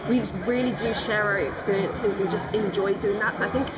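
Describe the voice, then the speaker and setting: Young woman, casual chat, loud noise. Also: mid-range voice, steady tone, fairly quick. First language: Arabic. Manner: chatty, lots of "like" fillers. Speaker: podcast guest. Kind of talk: casual discussion.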